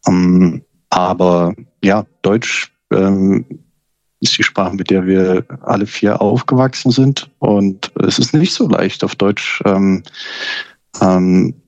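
A man in a band, talking in a casual interview in a measured, deliberate way with frequent pauses to search for words. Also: deep voice, steady tone, darkish tone, no room sound. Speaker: band member. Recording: slightly muffled lo-fi recording.

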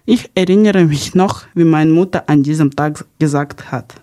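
A woman's voice: low-pitched, normal speed, dark tone. Young Ukrainian-accented woman hosting a podcast. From Kharkiv, Ukraine. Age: young adult. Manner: non-native German with small grammar slips. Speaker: podcast host. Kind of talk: podcast narration of personal experience.

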